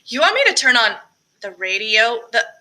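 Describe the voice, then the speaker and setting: A woman's voice: fairly high pitch, very animated, moderate pace, bright, forceful tone, exaggerated voice, rough recording. A woman voicing an anxious young girl who is devoted to her mama, in an audiobook story. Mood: reluctant.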